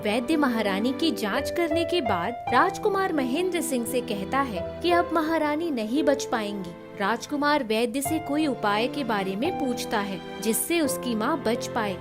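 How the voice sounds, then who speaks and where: Casting: female storybook narrator, high voice, scripted children's tale delivery, music bed. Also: lively intonation, a little slow, few pauses.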